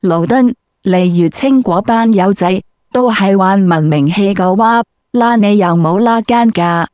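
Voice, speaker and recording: A woman's voice: mid-range voice, measured pace, a little mellow, dry recording, clean studio sound. A woman with a Cantonese accent putting brash, slangy questions as an interviewer. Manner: brash, crude street slang.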